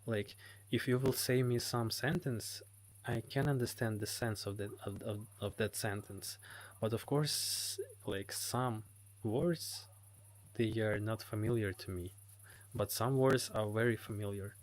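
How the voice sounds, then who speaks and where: A man's voice: lowish voice, measured pace. A Ukrainian man with a Ukrainian accent, hosting a live stream and answering chat comments in hesitant English full of fillers. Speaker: livestream host. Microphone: phone.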